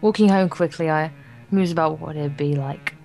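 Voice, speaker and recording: A woman's voice: deep voice, normal speed, dark tone, soft background music. A young woman co-hosting a let's play, reading visual novel text aloud with playful, casual banter.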